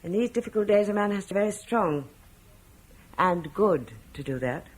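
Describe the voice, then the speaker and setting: A woman's voice: medium pitch, expressive, moderate pace, slightly rough. An actress playing a careful, worried middle-aged mother in a classic radio drama. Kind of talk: 1950s radio drama acting.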